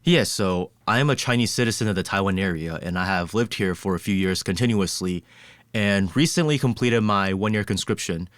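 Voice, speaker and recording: A young man hosting a podcast, reading a measured scripted introduction and then chatting with his guest. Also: low voice, a bit bright, no echo. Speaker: podcast host. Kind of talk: scripted intro and casual interview.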